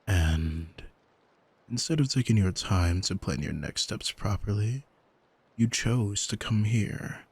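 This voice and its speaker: Man playing a husky, slow-talking arrogant demon. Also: fairly low pitch, lively intonation, dry recording, heavily acted. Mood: smug, taunting. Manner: smug, deliberate, soothing, commanding. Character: arrogant male demon, soul-owning manipulator, calm and seductive. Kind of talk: ASMR audio roleplay, hypnotic coaxing.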